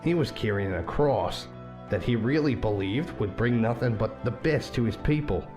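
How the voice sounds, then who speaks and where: A man's voice: medium pitch, lively intonation, normal speed, music in the background, natural voice. A man playing a loyal, reasonable defense advocate in a visual novel, speaking persuasively and plainly.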